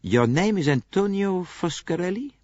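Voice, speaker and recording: A man voicing a fussy, shrewd middle-aged male detective who speaks in a crisp, dry, precise way, on a dull lo-fi tape. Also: high voice, lively intonation, moderate pace, a little mellow, no room sound, pitched above the performer's own voice. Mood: probing.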